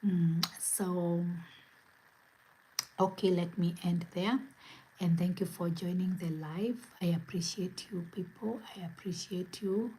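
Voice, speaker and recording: A woman from Kenya hosting a spiritual livestream from home, speaking in gentle, repetitive affirmations. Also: on the low side, steady tone, slow, slight background noise, poor audio. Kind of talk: inspirational livestream talk. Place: home, where it is late at night.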